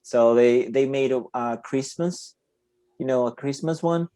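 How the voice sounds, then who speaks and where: Slow, halting man with a Portuguese accent. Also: mid-range voice, warm tone, no echo. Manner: halting, searching for words, laughs often. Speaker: podcast host. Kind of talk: casual interview.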